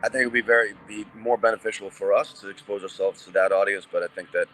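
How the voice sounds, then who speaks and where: A man, answering interview questions in a relaxed, plain-spoken way with many ums and restarts. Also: on the low side, fast talker, poor audio. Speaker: metal band vocalist, guest.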